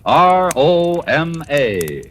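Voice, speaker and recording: Animated 1940s male radio commercial announcer. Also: a little high, very slow. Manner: formal, emphatic sales delivery. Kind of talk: 1940s radio advertisement, scripted. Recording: noisy old 1940s radio recording.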